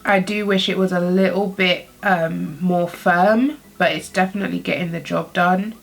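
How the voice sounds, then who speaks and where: A woman's voice: fairly low pitch, steady tone, moderate pace, gravelly. A young woman with a blocked-up nose from a cold, chatting upbeat to viewers while testing makeup brushes. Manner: chatty, upbeat, addresses viewers as girlies and guys. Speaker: beauty vlogger. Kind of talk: casual makeup tutorial chat.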